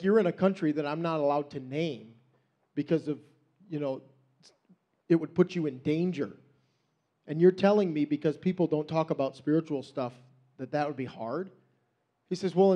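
A man's voice: a little high, dark tone. A man in his thirties from Western New York, a missionary giving a casual, story-driven testimony in church on a handheld mic. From Western New York, USA. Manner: conversational storytelling, self-deprecating asides, gestures while talking. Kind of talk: personal testimony sermon. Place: church stage with drum kit, indoors. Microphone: handheld microphone.

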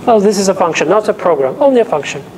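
A man's voice: high voice, sing-song, racing, a little mellow, a little hiss or hum. Male lecturer checking "okay?" often. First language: not English. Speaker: teaching assistant. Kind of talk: classroom lecture. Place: lecture room with a projector and whiteboard. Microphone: lapel mic.

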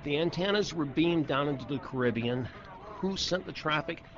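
A man's voice: a little high, normal speed. A man recounting things matter-of-factly in an interview, in muffled, noisy audio.

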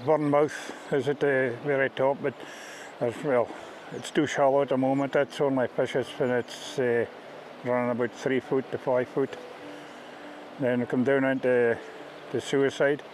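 Middle-aged Scottish male ghillie, unpolished and halting, explaining informally outdoors over light ambient noise. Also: mid-range voice, flat delivery, darkish tone, poor audio. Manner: halting, plain-spoken. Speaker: fishing ghillie on a river beat. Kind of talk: informal interview-style explanation of a fishing beat. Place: outdoors on a riverbank.

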